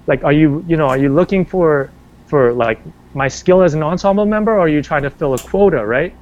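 A man, a working actor, talks through his career in a loose, meandering way, full of "like" and false starts. His voice sits a touch higher than most men's. The sound is muffled and lo-fi. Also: fairly quick, a little mellow. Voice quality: bass singing voice. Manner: rambling, filler-heavy, many restarts. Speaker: stage and screen actor, interview guest. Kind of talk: casual interview talk. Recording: dull lo-fi sound.

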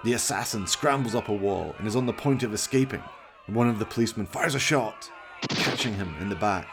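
A man's voice: on the low side, brisk, background noise. A man reading dramatic scripted narration for a radio play.

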